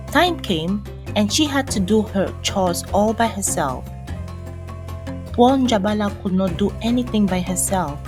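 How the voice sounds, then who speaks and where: Woman, storyteller, scripted folktale narration, medium pitch, light background music.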